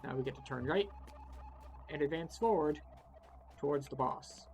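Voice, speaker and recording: A man's voice: a little high, normal speed, a little mellow, slight background noise. A man giving casual, wry, explanatory commentary as he guides a video game playthrough. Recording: game music behind the voice. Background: video game music.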